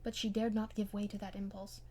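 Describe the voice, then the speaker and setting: A woman narrates a classic novel as an amateur audiobook reader. Her voice sits at a middle pitch, and the scripted prose is read with expression. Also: level tone, brisk, darkish tone.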